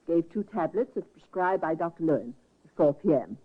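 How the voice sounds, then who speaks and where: An actress playing a composed nurse accused of murder, speaking coolly and precisely in a 1950s radio drama. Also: female voice, deep voice, lively intonation, a little fast, husky. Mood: guarded calm. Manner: cool, precise. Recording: tinny old radio broadcast.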